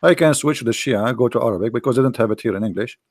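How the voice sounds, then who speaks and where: A man hosting a talk show, mocking and sarcastic, going hoarse as he loses his voice. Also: mid-range voice, quick pace, a little mellow, dry recording, studio quality. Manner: mocking, sarcastic, with a non-native grammar. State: losing his voice. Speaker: host.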